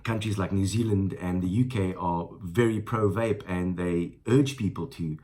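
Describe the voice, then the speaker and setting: A man's voice: sits low, normal speed, mellow. A South African man in his thirties with a stuffy nose from the flu, reviewing a product in a casual, rambling way with many fillers. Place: small room indoors at a table.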